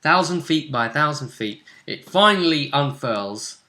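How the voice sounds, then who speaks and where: A man game mastering, casually recapping a tabletop roleplay session in chatty, animated narration. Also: on the high side, measured pace.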